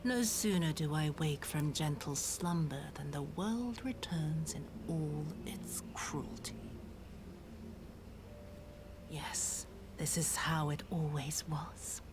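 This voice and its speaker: Slow, low-voiced vengeful villainess. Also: female voice, background noise. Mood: weary, bitter. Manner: slow, breathy, theatrical menace. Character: bitter, vengeful young villainess, cruel and theatrical. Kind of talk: dramatic video game voice acting. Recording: game audio with music underneath.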